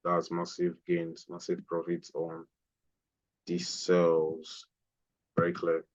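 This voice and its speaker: Low, flat-voiced man teaching trading. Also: slow, dull audio. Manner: explanatory, repeats phrases while pointing at a chart. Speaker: trading instructor. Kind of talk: live online trading lesson.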